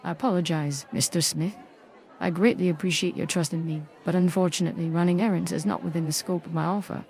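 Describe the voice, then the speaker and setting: An actress playing a young private detective in a video game, sardonic, guarded and formally polite. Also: female voice, on the low side, steady tone, fast, gravelly, a little mellow, some chatter behind. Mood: politely firm. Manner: dry, wry, formally polite. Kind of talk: video game dialogue and narration.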